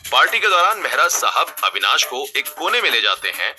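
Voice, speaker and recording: A man's voice: medium pitch, big pitch swings, brisk, sharp tone, faint music behind. A man reading scripted narration for a family drama story as its narrator.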